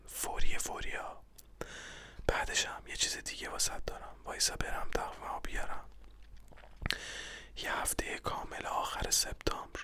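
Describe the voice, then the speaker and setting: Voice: medium pitch, sharp tone, breathy edge, heavily acted. A narrator voicing a chatty, scatterbrained caller leaving a message in a stage play, in a whispered voice.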